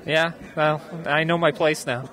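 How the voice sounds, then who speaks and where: A man's voice: a little high, fairly even intonation, a little slow, fairly bright tone. An American man answering interview questions casually, hesitating and thinking aloud in an easygoing way. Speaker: interview guest, skeptics group founder. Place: busy convention venue. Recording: busy crowd chatter behind.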